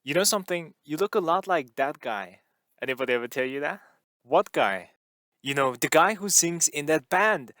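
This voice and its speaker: A man voicing a talkative young woman hairdresser with a slightly husky voice, asking curious, teasing questions. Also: on the high side, animated, normal speed, sharp tone, no echo, clean studio sound. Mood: chatty.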